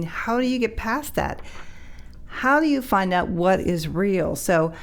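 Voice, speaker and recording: A woman co-hosting a podcast, earnestly reading and discussing passages from a book. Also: fairly low pitch. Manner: earnest, reads passages aloud. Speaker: podcast co-host. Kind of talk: casual chat, reading from a book.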